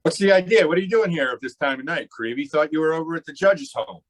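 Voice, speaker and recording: An actor playing a cocky racketeer boss in an old-time radio drama, brash and slangy in delivery. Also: male voice, on the high side, fast, close to an everyday voice, rough recording. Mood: brash, smug.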